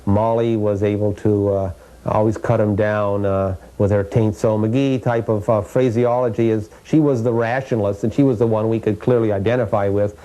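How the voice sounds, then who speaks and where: A man with an American accent gives explanatory interview commentary as a historian, haltingly searching for his words. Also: on the low side, steady tone, warm tone, low-quality recording.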